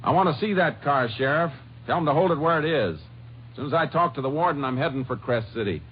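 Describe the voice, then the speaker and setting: An actor playing a steady, determined Texas Ranger lawman in a 1950s radio drama, terse, assured and matter-of-fact. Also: fairly high pitch, fast, slight background noise, natural voice. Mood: urgent, resolute. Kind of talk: 1950s radio drama acting and first-person narration. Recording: muffled 1950s radio broadcast, music behind.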